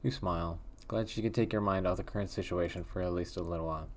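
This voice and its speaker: A man reading a text horror game aloud in a hushed, measured way with a wondering, uneasy tone. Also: low-pitched, fairly even intonation. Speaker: let's play host.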